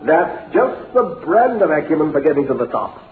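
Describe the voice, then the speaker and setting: A hearty, glib businessman, very animated. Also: a little high, moderate pace. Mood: jovial, patronising. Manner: hearty, patronising, chuckling. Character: glib, jovial businessman hiding a swindle, falsely encouraging. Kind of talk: old-time radio drama acting. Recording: lo-fi narrow-band radio recording, faint noise, roomy.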